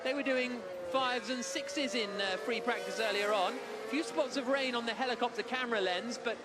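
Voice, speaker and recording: A man giving live motor racing commentary in an excited running style with hesitant pauses, racing engines behind him. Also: extremely high pitch, heavy background noise. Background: racing car engines.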